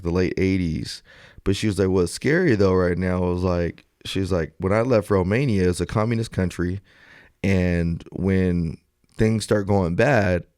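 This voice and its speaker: A man with a deep voice hosts a podcast in a dry, close-sounding recording. He talks in loose, slangy sentences like he is chatting with friends. When he retells an exchange, he acts out the lines. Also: mellow, no echo. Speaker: podcast host. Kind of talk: casual podcast banter and storytelling.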